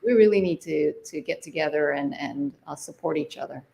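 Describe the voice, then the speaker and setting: A middle-aged woman from Hawaii talks in a casual interview about her music and life. Her voice sits low with a gravelly fry, and she searches for words with frequent fillers and restarts. She laughs easily between answers. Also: fairly quick, mellow. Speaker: jazz artist guest.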